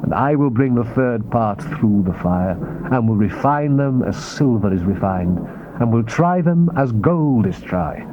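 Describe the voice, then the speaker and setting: On a dull tape with clear noise, a man acts out a solemn prophet in a dramatized scripture reading. The voice sits at a middling pitch and proclaims in a grave, declamatory way. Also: natural voice. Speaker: scripture narrator. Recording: muffled tape with loud noise.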